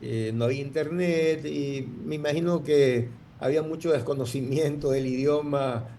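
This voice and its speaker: A man's voice: on the high side, moderate pace, dark tone, a little hiss or hum. A man, telling his story in an interview, rambling with many restarts. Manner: rambling, full of restarts and fillers. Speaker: guest entrepreneur.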